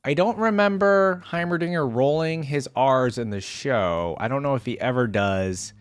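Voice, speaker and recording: A man giving casual reaction commentary as a host, talking in an animated, conversational way. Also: mid-range voice, normal speed, smooth.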